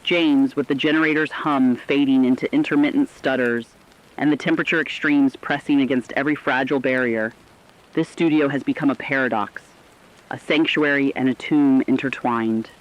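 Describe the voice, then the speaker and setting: A man's voice: mid-range voice, lightly acted. A man playing a solemn, compassionate radio broadcaster in humanity's final hours, with earnest, careful phrasing in a scripted radio drama. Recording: dull, muffled audio.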